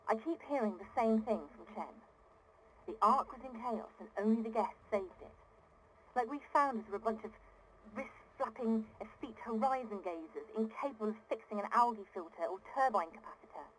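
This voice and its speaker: Woman acting a high-voiced, exasperated city founder. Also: normal speed, slight background noise, close to an everyday voice, low-quality recording. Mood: indignant, exasperated. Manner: indignant, articulate, emphatic, with weary sighs. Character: exasperated female founder of an ocean city, earnest and privileged. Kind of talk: dramatic video game audio diary acting.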